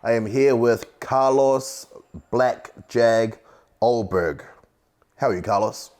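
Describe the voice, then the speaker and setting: A man's voice: mid-range voice, takes it slowly, a little mellow. A man hosting an interview, joking and hyping his guest with boastful banter. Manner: jokey and hyped, boastful banter. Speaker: interviewer, host. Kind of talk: casual interview.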